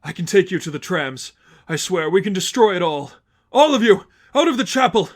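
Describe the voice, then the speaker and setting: A man's voice: high voice, big pitch swings, moderate pace, pitched above the performer's own voice. A man voicing a fearful, awestruck male cult follower in a dramatic story reading, stammering and reverent. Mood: eager obedience. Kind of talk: dramatic audiobook-style fan fiction reading.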